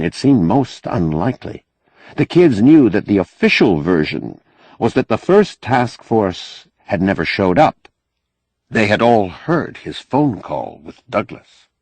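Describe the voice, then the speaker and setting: Male narrator for fiction audiobook: animated intonation, unhurried pace, dry close sound. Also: fairly low pitch, husky, dry recording. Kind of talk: expressive scripted fiction narration.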